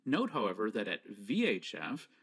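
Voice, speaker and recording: A man presenting scripted educational narration in a measured, didactic manner with precise enunciation. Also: fairly low pitch, huge pitch swings, a bit bright, slightly rough.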